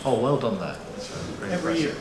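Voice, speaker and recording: A man's voice: medium pitch, very animated, very fast. Middle-aged guy, bit aussie, moderating a panel, lots of ums and restarts, echoey hall, faint room hum. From United Kingdom. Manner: chatty, jokey, frequent restarts and fillers. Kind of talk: conference presentation. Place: conference auditorium.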